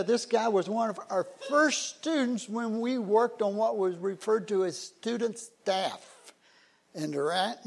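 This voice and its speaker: A man's voice: fairly high pitch, gravelly. An older man giving a folksy, conversational church talk about missionaries, with frequent fillers. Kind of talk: informal church talk introducing missionaries on slides.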